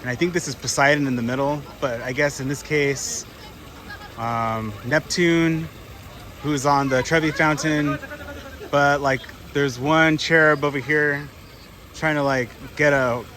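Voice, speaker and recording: A man vlogging a walking tour outdoors on city streets. He is slightly hoarse from a cold and rambles casually, thinking aloud with lots of fillers. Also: medium pitch, fairly bright tone. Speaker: travel vlogger. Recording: street noise behind the voice.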